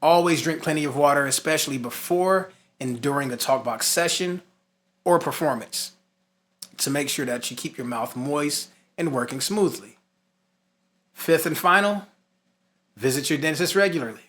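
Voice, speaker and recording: A man's voice: a little high, normal speed, a bit bright. A man giving an instructional lesson, moving between scripted points and casual, emphatic asides. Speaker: music instructor.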